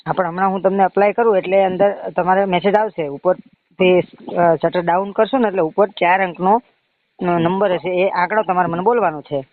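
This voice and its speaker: A man with a high voice and a Gujarati accent makes a loan sales call. He talks fast and presses his point again and again. The sound is narrow and muffled, as on a recorded phone line. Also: steady tone. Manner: insistent, pushy, repetitive. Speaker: phone caller claiming to be a bank loan agent.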